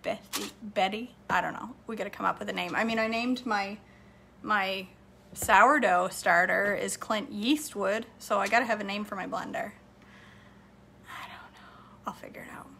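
A woman's voice: mid-range voice, steady tone, vocal fry, sharp tone, slight background noise. A woman in her forties from Maine, chatting casually and rambling on a livestream from her home kitchen as she answers viewer comments. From Maine, USA. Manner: chatty, rambling, quick to laugh. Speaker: home cook and livestream host. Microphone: phone camera at arm's length.

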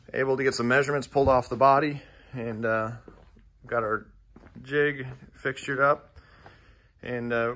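Plainspoken man touring his fab shop. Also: mid-range voice. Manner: relaxed, plainspoken, frequent "uh" and "um". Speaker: fabrication shop owner. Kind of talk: casual shop walkthrough. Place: large metal fabrication shop, indoors.

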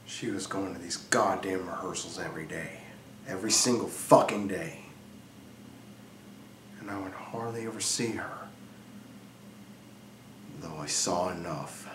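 A man's voice: a little high, unhurried, sharp tone, far from the mic, pitched above the performer's own voice. Man acting a jealous, volatile husband, echoey room. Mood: brooding, bitter. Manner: profane, brooding, emphatic. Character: jealous, obsessive, volatile husband ranting to his brother. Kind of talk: dramatic stage monologue. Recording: echoey room with faint noise.

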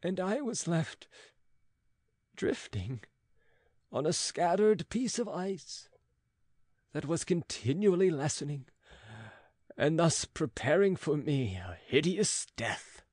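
A man reading a gothic novel aloud as an audiobook, theatrical and emotive, with drawn-out words and dramatic pauses. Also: fairly high pitch, sing-song, darkish tone, dry recording. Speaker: audiobook reader.

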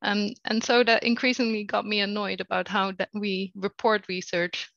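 A woman gives an informal panel introduction in a mid-pitched voice with plenty of creak. The recording is dry and a little dull in the top end. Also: flat delivery, moderate pace, fairly bright tone, no room sound. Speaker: panelist, research data steward.

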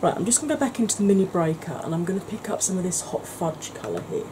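A woman in her forties talking through a makeup tutorial, chatty and sometimes dropping to a soft near-whisper, recorded close to the camera at home. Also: lowish voice, sing-song, quick pace, background noise. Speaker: makeup vlogger. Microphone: camera close to the face.

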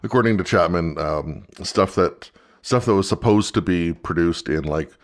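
A man with a North American accent, co-hosting a casual podcast in a hesitant, measured way. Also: sits low. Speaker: podcast co-host. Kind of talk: casual fan podcast chat.

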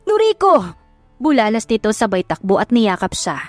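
A woman's voice: mid-range voice, brisk, no room sound, soft background music. A Filipino woman reading a love story as a dramatic audiobook narrator.

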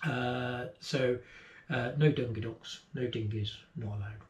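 A man's voice: mid-range voice, fairly even intonation, unhurried. A middle-aged man co-hosting a sailing channel, explaining a port in casual chat from his boat cabin. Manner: chatty, explanatory, trailing off at line ends. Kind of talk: casual travel guide chat. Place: boat cabin, seated indoors. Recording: echoey, dull lo-fi sound.

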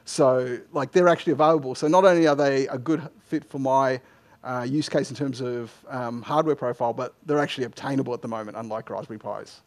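A middle-aged man answering technical questions informally as a conference speaker, in a halting way with frequent false starts. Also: medium pitch. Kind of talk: informal technical Q&A after a talk. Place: indoor lecture room, at a lectern. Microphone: headset microphone.

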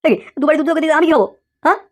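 A woman's voice: high-pitched, very fast, a little hiss or hum, lightly acted. A woman voicing a lazy, whiny schoolboy rascal in a comic cartoon, pleading and full of exclamations. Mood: demanding, content.

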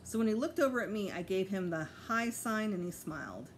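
A woman's voice: mid-range voice, brisk, a little hiss or hum. A middle-aged woman reads a children's storybook aloud with care and expression, like a teacher to pupils. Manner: careful, expressive reading with voiced dialogue.

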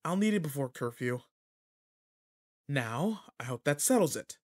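A man's voice: fairly high pitch, expressive, a little slow, darkish tone. A man playing a cocky, irritable teenage delinquent in a roleplay audio drama, talking casually and teasingly straight to the listener. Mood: irritated, teasing.

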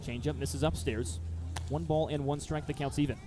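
A man's voice: on the high side, fairly even intonation, moderate pace, background noise. A man calling live baseball play-by-play for radio, mixing clipped phrases with detailed description.

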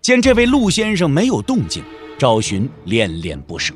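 A man's voice: medium pitch, wildly swooping pitch, moderate pace, soft background music. A man narrating a wuxia audio drama as a dramatic scripted storyteller.

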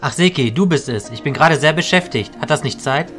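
A man playing a busy, reassuring mentor mage in a fantasy audio drama, calm and measured. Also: fairly high pitch, soft background music. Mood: calm, concerned. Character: busy, reassuring male mentor of illusionist mages. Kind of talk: amateur German fantasy audio drama acting.

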